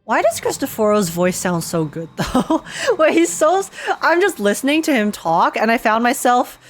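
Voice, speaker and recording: A woman's voice: on the high side, sing-song, normal speed. A woman streamer giving casual, giggly, informal live reactions to a game's story. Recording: game music behind the voice.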